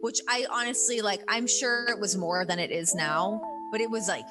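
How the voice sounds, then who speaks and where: A woman's voice: mid-range voice, moderate pace. A woman talking casually on a podcast, with frequent fillers. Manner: chatty, with fillers like "like" and "um". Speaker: podcast host. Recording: podcast clip under loud music.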